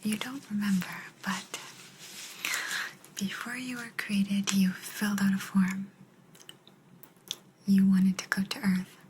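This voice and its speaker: A woman voicing an aloof, secretive alien abductor in a soft, breathy, unhurried whisper for an ASMR sci-fi roleplay. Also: mid-range voice, flat delivery, noisy background, close to an everyday voice. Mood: secretive, gently firm. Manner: unhurried, hushed, personal attention. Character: aloof female alien abductor, calm, secretive, gently apologetic.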